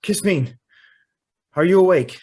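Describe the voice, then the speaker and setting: A man's voice: sits high, expressive, unhurried, a little mellow, breathy edge, exaggerated voice. A man acting a bewildered, earnest young man who tells and lives his story in a radio drama, a little hesitant. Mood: tense narration. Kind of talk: old-time radio drama acting and first-person narration.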